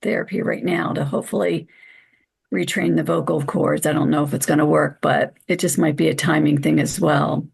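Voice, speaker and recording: Raspy, gravelly woman. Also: deep voice, fairly even intonation, moderate pace. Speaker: support group member.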